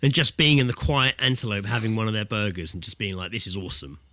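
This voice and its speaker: A British man chats casually as a podcast co-host. His delivery is fast and bright, full of loose swearing and quoted asides. The sound is close and dry. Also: lowish voice, no room sound. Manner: sweary, animated storytelling with acted-out quotes. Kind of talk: casual chat, telling pub anecdotes. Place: remote online call from a dry-sounding room.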